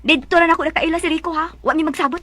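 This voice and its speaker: Shrill, petulant Bisaya girlfriend. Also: fairly even intonation, very fast, bright, forceful tone. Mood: snippy, defiant. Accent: Cebuano Bisaya, Philippines. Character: petulant, sulky young girlfriend who snaps back. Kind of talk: Bisaya radio drama acting.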